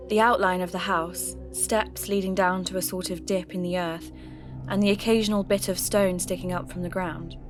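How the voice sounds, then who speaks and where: A woman playing a radio host turned investigator, giving measured, reflective first-person narration for a scripted podcast drama. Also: medium pitch, close to an everyday voice. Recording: music under the voice.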